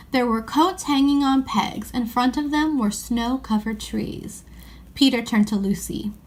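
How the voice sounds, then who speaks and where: A woman reading a children's novel aloud in an expressive storybook style. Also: a little high.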